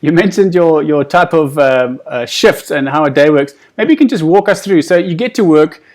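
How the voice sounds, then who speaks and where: Man, interview host, slightly high voice, conversational, encouraging, wordy questioning. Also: lively intonation, moderate pace, a little mellow.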